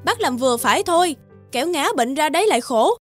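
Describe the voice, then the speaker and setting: A friendly, concerned young village mother from a cartoon fairy tale, acted by a woman. She speaks politely and solicitously in a high voice, with faint music behind. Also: lively intonation, quick pace. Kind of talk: animated fairy tale voice acting.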